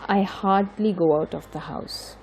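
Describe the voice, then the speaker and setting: A woman's voice: medium pitch, unhurried, gravelly, a little mellow, a little hiss or hum. A woman with an Indian Bengali accent playing a wistful, subdued young mother in an audio drama, giving short, hesitant replies. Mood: resigned. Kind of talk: bilingual audio drama dialogue.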